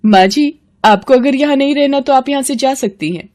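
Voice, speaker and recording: A woman voicing a scheming, sharp-tongued young daughter-in-law in a moral story, scolding and demanding. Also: sits high, normal speed, voice pushed up, lightly acted. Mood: cold.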